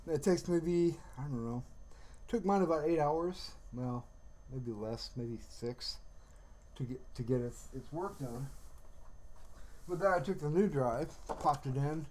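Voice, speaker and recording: A man talks casually as a livestream host. His voice sits a little higher than average for a man. He rambles in a halting way, starting thoughts, restarting them and letting some trail off. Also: darkish tone, a little hiss or hum, rough recording.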